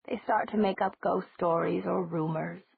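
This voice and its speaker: Woman doing a gentle old witch lady, slow rambling talk, gravelly and husky, a bit higher, tinny dry sound. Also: fairly even intonation, a little hiss or hum.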